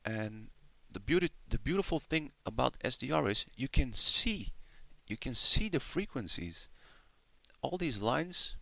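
Male screen-demo narrator, mid-pitched and gravelly, amused and unscripted, recorded with heavy radio hiss. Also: sing-song, a little slow, no room sound. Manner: amused, often chuckling, swears casually. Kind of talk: casual off-the-cuff screen-recording commentary. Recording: hissy with loud radio static. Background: shortwave radio static and signals.

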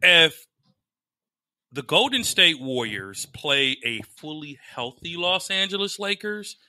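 A man co-hosting a sports podcast who talks loudly, teases, and interrupts his co-hosts often. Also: on the high side, sing-song, slow. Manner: loud, teasing, interrupts often. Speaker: podcast co-host. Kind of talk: casual sports banter.

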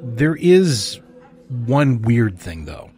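A man playing a steady, loyal, guarded friend in naturalistic audio drama dialogue. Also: medium pitch, drawn-out words, soft background music. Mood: earnest, hesitant.

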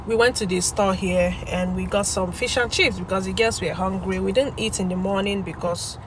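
A woman's voice: medium pitch, background noise. A woman in her thirties with a Nigerian accent, chatting casually in a vlog recorded inside a parked car. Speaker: vlogger and mother.

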